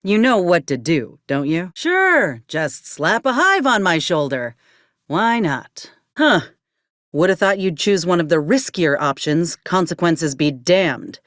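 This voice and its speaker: A woman's voice: on the low side, sing-song, measured pace, fairly bright tone, dry recording, clean studio sound. An actress playing an earnest, determined young woman soldier with folksy rural turns of phrase in a video game. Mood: thoughtful, practical. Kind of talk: video game character dialogue.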